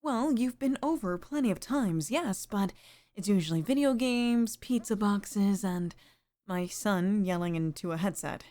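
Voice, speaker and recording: A woman plays a sweet middle-aged single mom in an intimate ASMR roleplay. Her voice is mellow and unhurried, with soft pauses and sighs. She sounds affectionate, gently flirtatious and a touch lonely. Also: mid-range voice, lively intonation, close to an everyday voice. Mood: admiring, playful.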